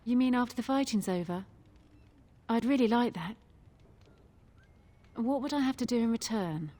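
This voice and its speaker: An actress playing a weary, resigned tavern barmaid in a video game, with a wry manner. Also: female voice, on the high side, expressive, moderate pace, dark tone. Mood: hopeful, grateful.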